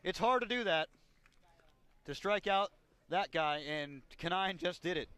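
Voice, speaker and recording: A man calling baseball play-by-play for radio in a measured delivery that builds on big plays, working as a broadcaster at an outdoor ballpark. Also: sits high, lively intonation, a bit bright, clear, resonant tone, dry recording. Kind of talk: radio sports commentary.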